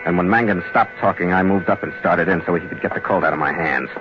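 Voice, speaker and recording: An actor playing a tough, wry sea captain, terse and dry, narrating an old radio adventure in hard-boiled style. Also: male voice, sits low, fast talker, lightly acted. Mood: tense, brisk. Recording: muffled old radio recording.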